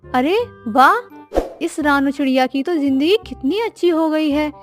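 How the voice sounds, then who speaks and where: A discontented crow wife from a children's cartoon, acted by a woman, speaks in a high voice. She is dramatic and scheming, with a complaining way of putting things. Soft music plays behind her. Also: a little slow. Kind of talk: children's animated story acting.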